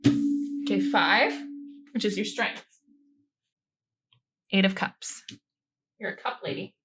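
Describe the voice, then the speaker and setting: A woman's voice: medium pitch, slow pace, heavy background noise. A woman co-hosting a podcast, giving a tarot reading in an informal, joking way and reading card meanings aloud.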